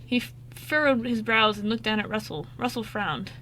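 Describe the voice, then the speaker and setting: A woman's voice: a little high, normal speed, sharp tone, slight background noise, rough recording. A woman narrating a scripted radio drama, reading expressively.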